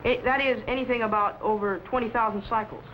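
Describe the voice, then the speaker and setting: An eager American teenage boy played by a young man, high-pitched and earnest, in an old sci-fi serial. He is wide-eyed and respectful, heard through a dull, noisy vintage soundtrack. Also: fairly even intonation, fast talker, lightly acted. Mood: eager, curious. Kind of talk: 1950s sci-fi serial acting.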